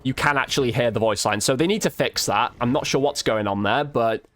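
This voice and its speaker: A man's voice: mid-range voice, brisk, a bit bright, faint music behind. A man giving casual, informal game review commentary as a video host, chatting directly to his viewers.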